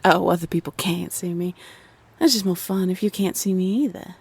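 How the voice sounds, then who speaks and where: Woman as a sly, husky, teasing invisible spirit. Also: lowish voice, moderate pace. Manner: sly, playful, purring. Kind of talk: roleplay audio drama.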